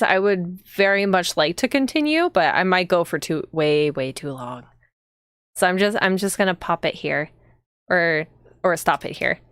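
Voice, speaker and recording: A woman's voice: mid-range voice, fairly bright tone, clean tone, dry recording, studio quality. A woman chatting casually to viewers as she hosts a live game stream. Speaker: streamer and host.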